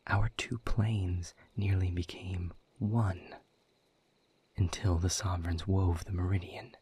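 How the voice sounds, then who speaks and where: A man playing a bitter, world-weary demon in an audio roleplay, speaking in a husky, breathy voice with sardonic, deliberate emphasis. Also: low voice, flat delivery, dark tone, full character voice. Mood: grim, explanatory. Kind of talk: scripted audio roleplay monologue.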